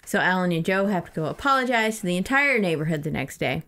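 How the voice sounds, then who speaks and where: A woman's voice: fairly low pitch, big pitch swings, quick pace, fairly bright tone. A woman co-hosting a podcast, casually recapping a book in an informal, amused way. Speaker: podcast co-host.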